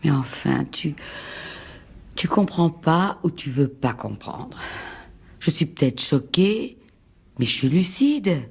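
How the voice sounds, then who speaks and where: An actress playing a frightened, fragile and insistent wife in a French radio drama, speaking in halting, pleading repetitions. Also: female voice, deep voice, big pitch swings, creaky, darkish tone, slightly rough, heavily acted. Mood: anxious, insistent. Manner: halting, pleading, repeats words.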